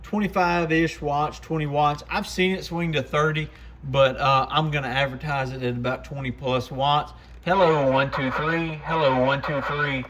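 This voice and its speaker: Higher-pitched man, casual product demo, noisy background. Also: steady tone, moderate pace. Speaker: CB radio shop presenter.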